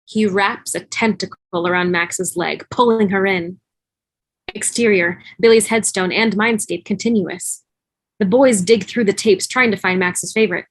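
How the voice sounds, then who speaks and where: A woman's voice: mid-range voice, fairly even intonation, measured pace. A woman reading scripted stage directions as narrator of a fan table read over a video call. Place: remote video call, music behind.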